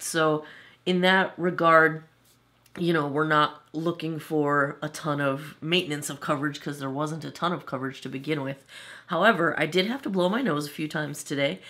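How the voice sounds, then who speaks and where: A woman's voice: deep voice, fairly bright tone. A woman in her forties giving a chatty, self-amused makeup review at her home vanity, her voice slightly off from a cold. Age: 40s. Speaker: beauty vlogger.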